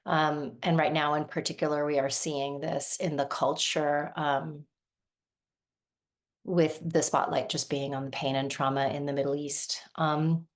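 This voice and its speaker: A woman in her forties, originally from Lebanon and raised in the United States, giving a thoughtful workshop talk as a somatic practitioner and often checking in with "right?". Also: deep voice.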